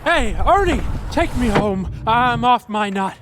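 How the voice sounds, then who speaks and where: A man's voice: extremely high pitch, lively intonation, unhurried, noisy background. A man acting in a radio play as a bewildered, frantic family man who stammers and pleads. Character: frantic, bewildered everyman husband and father.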